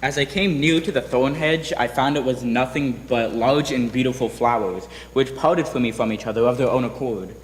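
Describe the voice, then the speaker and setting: A man's voice: medium pitch, moderate pace, hardly any pauses, lightly acted. Stage storybook prince, male reader, young and resolute, mid-range voice, very roomy hall sound. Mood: wondering. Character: bold, determined young prince of a fairy tale. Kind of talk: readers theatre stage reading. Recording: echoey hall with faint noise.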